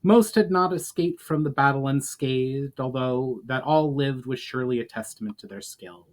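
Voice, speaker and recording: Male author-narrator for fantasy storytelling, medium pitch, measured and formal delivery. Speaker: author reading own book. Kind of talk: dramatic audiobook-style narration of fantasy fiction.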